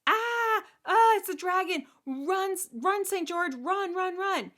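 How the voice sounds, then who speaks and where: A woman's voice: on the low side, normal speed, fairly bright tone, natural voice. A woman voicing a brave young princess in a told tale, blunt and insistent, with modern slangy phrasing. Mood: panicked.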